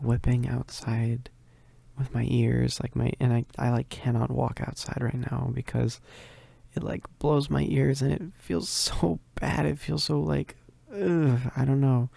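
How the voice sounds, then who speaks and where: A man playing a shy, needy, gentle werewolf roommate in an intimate ASMR roleplay, hesitant and stammering. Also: medium pitch, vocal fry, no echo, exaggerated voice. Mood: uneasy, bothered. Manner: hesitant, stammering, bashful with soft chuckles.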